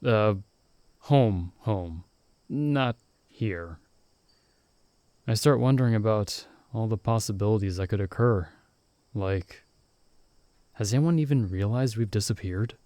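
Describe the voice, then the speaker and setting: Casting: a man as upbeat young fantasy mage, loyal best-friend type, soft mellow tone, close dry sound, casual ASMR roleplay. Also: fairly low pitch, takes it slowly, pauses often, no room sound. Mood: candid, wistful. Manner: casual, chuckling, reassuring. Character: cheerful, caring young male friend, novice mage in fantasy world. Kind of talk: ASMR roleplay, intimate one-sided conversation.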